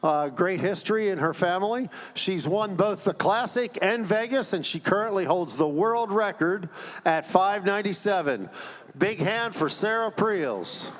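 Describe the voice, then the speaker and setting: A fairly high-voiced man working as a live announcer, upbeat and chatty. His voice rings with a long hall echo through the arena speakers. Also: animated, measured pace. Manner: upbeat, chatty, jokes with players. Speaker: stage announcer. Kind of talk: live event announcing and interviews. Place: large indoor arena. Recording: echoey hall sound over PA.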